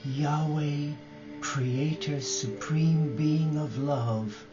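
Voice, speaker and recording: Very slow-speaking man leading guided meditation. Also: a little high, fairly even intonation. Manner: gentle, reverent, with short phrases and long pauses. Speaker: religious brother leading a prayer vigil. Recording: dull lo-fi live recording with music.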